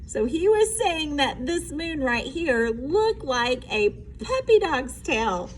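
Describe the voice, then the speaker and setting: A woman teacher reading a storybook aloud with simple, emphatic explanations and an expressive delivery. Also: high-pitched, takes it slowly, slight background noise.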